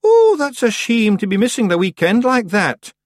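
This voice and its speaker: A man's voice: high voice, wildly swooping pitch, normal speed, no echo, clean studio sound, voice pushed up. A man voicing a small, rowdy, cheerful pictsie chief. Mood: jolly.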